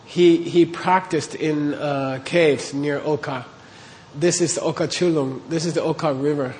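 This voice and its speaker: A man's voice: on the high side, steady tone, a little slow, darkish tone, a little hiss or hum. A man teaching a class, explaining terms step by step and checking in with his listeners. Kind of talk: classroom lecture on Tibetan texts.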